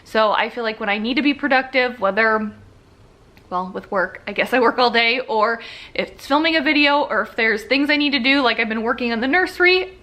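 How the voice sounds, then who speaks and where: A young woman talks through a casual vlog update in a bright, slightly high voice. She sounds a little congested and sniffly, and she rambles along with lots of fillers and easy asides. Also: fairly quick. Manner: chatty, rambling, with frequent fillers and laughs. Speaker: vlogger.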